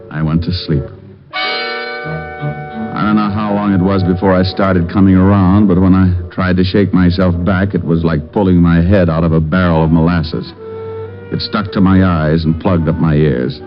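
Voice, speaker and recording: An actor plays a skeptical male gumshoe in a vintage radio noir. His voice sits low, and he speaks in dry, tough-guy slang. The sound is dull and aged, with faint music behind it. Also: fairly even intonation, normal speed, natural voice. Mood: narrating, hard-boiled. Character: wisecracking, hard-boiled, skeptical male private eye. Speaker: private detective lead and narrator. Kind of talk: 1940s radio noir acting and narration.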